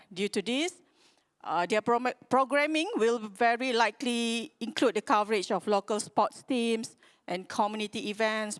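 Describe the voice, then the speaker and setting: A woman with a Malaysian accent presents at a conference in a mid-pitched voice. Her delivery is halting, as she searches for words and restarts her phrases while explaining things to listeners. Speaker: conference speaker from a public radio broadcaster.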